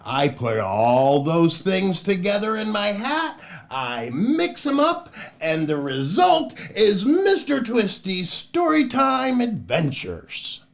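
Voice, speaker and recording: A man's voice: sits high, huge pitch swings, slow pace, vocal fry. An older man, a children's entertainer hosting a storytime show in a playful, exaggerated manner. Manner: playful, exaggerated, often chuckling at own jokes. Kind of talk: animated children's show hosting and read-aloud.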